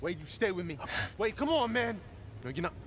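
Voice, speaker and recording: High-voiced cocky ace pilot. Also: male voice, sing-song, fairly quick. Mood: frantic. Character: cocky, foul-mouthed, hot-headed ace fighter pilot. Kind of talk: dramatic video game acting.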